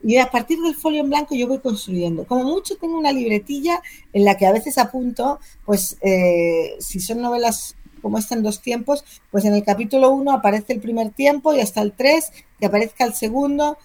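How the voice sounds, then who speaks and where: Talkative, expressive woman from Spain. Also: on the high side, brisk. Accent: Spanish, from Spain. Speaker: interviewed novelist. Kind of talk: conversational interview.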